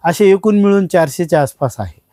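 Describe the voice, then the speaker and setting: A man's voice: high-pitched, lively intonation, brisk, dark tone, no room sound, clean studio sound. A man talks informally as a collector, explaining his items in short phrases. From India. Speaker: radio collector being interviewed.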